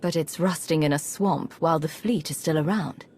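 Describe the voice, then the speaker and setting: A woman playing a skeptical, practical agent commander in a sci-fi game, with dry, measured, questioning delivery. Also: low voice, a little mellow, breathy edge.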